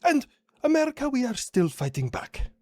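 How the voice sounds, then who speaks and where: A man's voice: very high voice, very animated, normal speed, warm tone, no room sound, studio quality, voice pushed up. A man voicing a defiant, indignant country character who argues and accuses in a comedy skit. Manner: argumentative, indignant.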